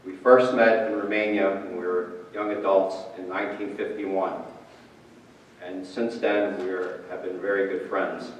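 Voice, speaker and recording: Guy reading a memorial tribute, lowish voice, big echoey hall, far from mic. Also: little pitch movement, unhurried, a little hiss or hum. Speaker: family friend reading his father's tribute. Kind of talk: memorial speech read aloud. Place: large reverberant hall at a memorial service.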